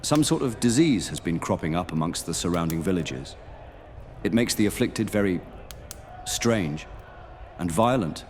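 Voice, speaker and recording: A man playing a stern, dutiful paladin in a fantasy audio roleplay, speaking in a measured, grave manner. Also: deep voice, animated, slight background noise. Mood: grave, briefing. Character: stern, dutiful male paladin of monster-hunting guild.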